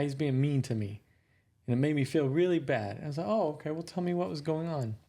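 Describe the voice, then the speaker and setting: A man co-hosting a relaxed podcast. He talks in an easy, chatty way, tells stories and teases now and then. His voice sits at an ordinary middle pitch. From American South. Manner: teasing, storytelling. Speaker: podcast co-host. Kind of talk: casual chat.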